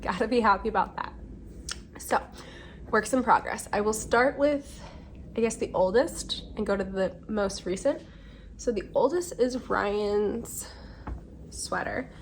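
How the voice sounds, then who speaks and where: A woman's voice: medium pitch, a little slow, pauses often, slight background noise. A woman in her twenties hosting a podcast in a casual, rambling way, a bit congested from a cold, with many ums and self-corrections. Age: 20s. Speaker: podcast host. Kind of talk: casual chat. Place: home during a windy day.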